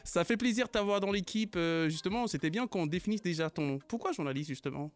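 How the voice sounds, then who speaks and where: Man, radio studio host, jovial, teasing, fairly high voice. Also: lively intonation, fast, faint music behind. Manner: jovial, teasing, laughs often. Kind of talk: hosting a live radio culture show.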